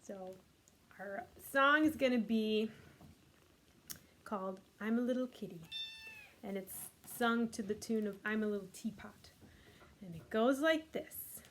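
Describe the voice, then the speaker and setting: A woman's voice: on the high side, a little slow, pauses often, a little hiss or hum. A woman hosting a children's storytime, reading a picture book expressively with casual asides. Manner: expressive, voices the characters' lines.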